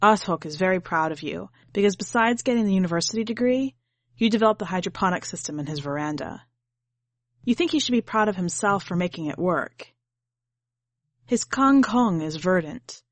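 A woman's voice: mid-range voice, expressive, moderate pace, no room sound. A woman reading literary fiction as scripted narration for a podcast. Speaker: podcast host and story reader.

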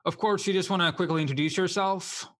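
Man, podcast host, bright voice, upbeat, encouraging, short questions. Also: high-pitched, steady tone, fast. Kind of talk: hosting an interview podcast.

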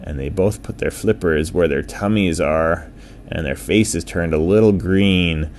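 A low-voiced man tells a bedtime story to a child at an unhurried pace. His tone is soft-edged and mellow, his phrasing simple and repetitive, over a faint background noise.

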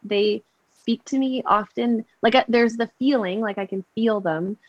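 A woman with a somewhat high voice, a somatic therapist chatting casually. She hunts for words with many fillers and restarts. Her sound is dry and close. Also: normal speed, no echo, dull audio. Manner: many fillers and restarts, warm agreeing murmurs. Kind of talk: casual conversation.

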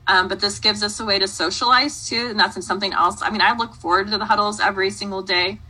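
A woman's voice: medium pitch, fairly even intonation, fast talker, sharp tone, breathy edge, rough recording. A woman in her late thirties hosting a casual interview, rambling in a friendly way with many likes and ums. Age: 30s. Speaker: host, interviewer.

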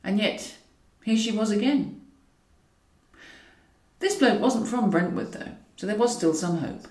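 A woman's voice: medium pitch, animated, measured pace, darkish tone. A woman reading a comic novel as scripted narration, with a wry, dryly ironic way of telling it. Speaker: author reading own novel. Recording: roomy echo, distant from mic.

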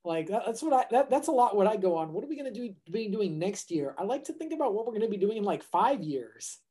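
A man's voice: sits high, very animated, fast, mellow. A man co-hosting a podcast in casual chat, rambling and restarting his sentences as he thinks aloud. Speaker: podcast co-host.

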